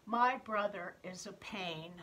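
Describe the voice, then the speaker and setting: Storybook woman performer as an aggrieved eight-year-old: bright, deliberate delivery, whiny and emphatic. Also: medium pitch. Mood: exasperated. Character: jealous, indignant eight-year-old third grader griping about younger brother. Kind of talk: expressive children's picture book reading.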